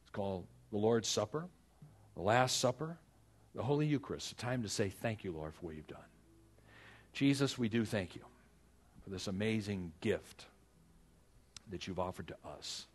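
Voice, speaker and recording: A male pastor with a husky, fairly low voice delivers a sermon. He is earnest and exhorting, leaning on repeated phrases and dramatic pauses as he moves between personal stories and prayer. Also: animated.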